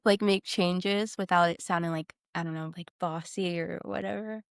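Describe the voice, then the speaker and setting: A woman's voice: fairly low pitch, steady tone, normal speed, gravelly, clean tone, no room sound, studio quality. A woman co-hosting a podcast, chatting casually and informally as she feels her way through questions and remarks. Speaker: podcast co-host. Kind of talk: casual interview chat.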